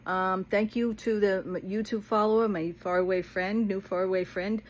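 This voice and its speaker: A woman's voice: mid-range voice, fairly even intonation, normal speed. A woman talking casually to her followers in a rambling vlog rant, blunt, exasperated and colloquial, retelling conversations as she goes.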